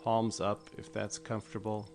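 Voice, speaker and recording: A man guiding a meditation in gentle, measured, unhurried phrases with long pauses. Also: on the low side, monotone. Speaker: meditation guide. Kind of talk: guided body scan meditation, slow instructional narration. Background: meditation music with chimes and singing bowls.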